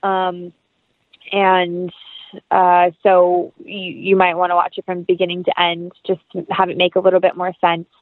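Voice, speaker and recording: A woman's voice: on the low side, monotone, moderate pace, no echo. A woman speaking casually as an interview guest, earnest and upbeat, over a tinny phone-like connection. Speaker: interview guest, actress and producer.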